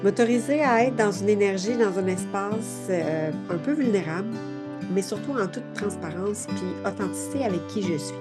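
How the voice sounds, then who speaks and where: A woman's voice: mid-range voice, moderate pace, loud music behind the voice. Earnest woman in her late forties. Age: late 40s. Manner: earnest, searching, heavy on fillers and rhetorical questions. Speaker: podcast host. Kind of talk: reflective personal monologue.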